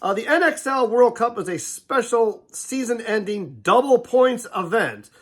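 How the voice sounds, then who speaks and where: A man's voice: very high-pitched, expressive, measured pace, a bit bright. An older man hosting a casual paintball preview, sounding a bit nasal from a head cold and rambling with fillers and restarts. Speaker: host. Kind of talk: casual sports preview talk.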